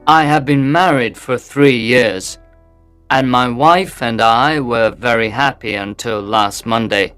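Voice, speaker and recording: A worried Victorian gentleman, played by a man, speaking slowly and earnestly about his troubles. The voice is close and dry, with soft music faintly under this audiobook scene. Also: mid-range voice, clean tone, no room sound. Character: worried, upset Victorian male gentleman visitor.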